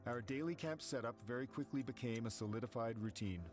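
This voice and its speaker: A man reading measured, steady scripted narration for an expedition documentary. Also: mid-range voice. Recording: voice-over with background music.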